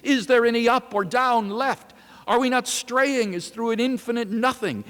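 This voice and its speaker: A man's voice: very high-pitched, moderate pace, a bit bright, voice pushed up. A man voicing an anguished, accusing madman with declamatory, urgent delivery in a dramatic reading. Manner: declamatory, urgent rhetorical questions. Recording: reverberant lecture hall.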